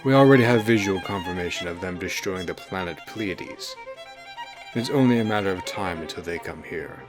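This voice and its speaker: Man voicing a low, imperious tyrant king. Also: moderate pace, lightly acted. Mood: grave warning. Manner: pompous, formal, imperious. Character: proud, menacing, tyrannical fantasy king. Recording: music bed behind the voice.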